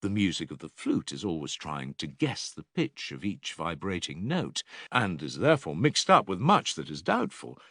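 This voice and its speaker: An actor playing an older philosopher in an audiobook dialogue, a patient, probing teacher with measured, rhetorical and emphatic delivery. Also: male voice, low-pitched, sing-song, a bit bright, no room sound, clean studio sound, exaggerated voice. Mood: explanatory.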